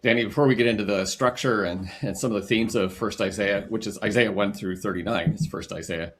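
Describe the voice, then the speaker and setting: Older man, low voice, good-humored. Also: fairly even intonation, a little fast, fairly bright tone, slight background noise. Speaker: podcast co-host and pastor. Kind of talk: casual interview talk.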